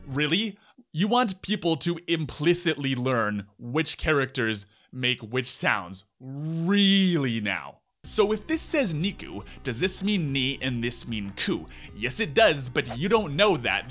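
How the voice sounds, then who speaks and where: A man's voice: medium pitch, sharp tone. A man in his twenties delivering a sarcastic, exasperated scripted review rant as a video host, close on the mic. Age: 20s. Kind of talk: scripted comedic review commentary. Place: small room at home, indoors. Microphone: close desk microphone.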